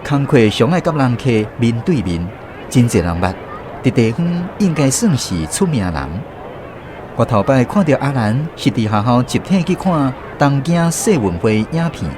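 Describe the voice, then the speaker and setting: A man's voice: mid-range voice, sing-song, moderate pace, noisy background, natural voice. An actor playing a reflective storyteller who narrates his youth in a radio drama, conversational and explanatory, with a Taiwanese accent. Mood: reminiscing. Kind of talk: scripted first-person radio drama narration.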